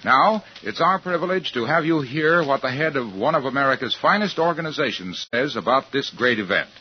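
A man's voice: medium pitch, expressive, fairly quick, slightly rough. A man delivering a 1950s radio commercial as an announcer, emphatic and polished like a salesman. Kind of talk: 1950s radio advertising pitch. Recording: dull vintage radio broadcast recording.

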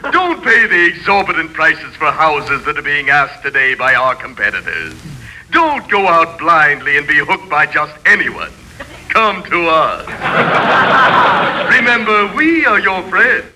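High-voiced oily radio pitchman. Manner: ingratiating, chuckling salesman delivery. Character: oily chuckling real estate pitchman. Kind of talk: 1940s radio comedy sales pitch. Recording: noisy 1940s radio recording.

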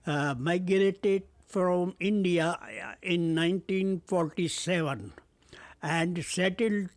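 Hesitant older Pakistani man, high voice. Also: no echo. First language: Urdu. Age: 70s. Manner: hesitant, halting, many fillers, formal phrasing. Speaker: interview guest. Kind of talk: family interview.

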